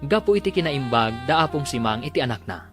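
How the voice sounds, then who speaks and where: A man's voice: medium pitch, expressive, quick pace. A man with an Ilocano accent narrating a radio drama recap as its announcer. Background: music.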